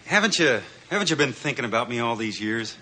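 A man plays an oily young Romeo from a seventies sitcom. He talks in a cocky, slangy way with big swings in a mid-pitched voice. The soundtrack is very noisy. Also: big pitch swings, quick pace, close to an everyday voice. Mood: smarmy wooing. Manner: smarmy, slangy. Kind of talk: 1970s TV sitcom acting.

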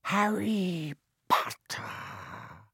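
A cold, evil dark wizard for a storybook. The voice sits high above a natural register, hissing and sometimes whispery. Every word is drawn out slowly with menace. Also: lively intonation, creaky, no room sound, studio quality, exaggerated voice. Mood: sinister, menacing. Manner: slow, drawn-out, menacing delivery.

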